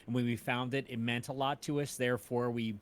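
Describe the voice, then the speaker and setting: A middle-aged man in an informal, enthusiastic film chat on a livestream, building his points haltingly as an interviewer. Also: mid-range voice, little pitch movement. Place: indoors at home, on a group video call.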